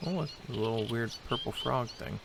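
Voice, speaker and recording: A man's voice: mid-range voice, moderate pace, vocal fry, noisy background. A man giving casual, chatty commentary while playing a game, thinking aloud and poking fun at himself. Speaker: let's play host. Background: loud game music.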